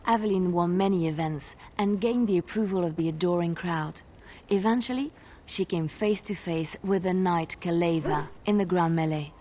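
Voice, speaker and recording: An actress playing a gentle young woman bard in a video game, telling tales in a measured, expressive way. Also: fairly low pitch, slight background noise, natural voice. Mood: earnest storytelling. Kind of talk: video game dialogue, fairy-tale storytelling.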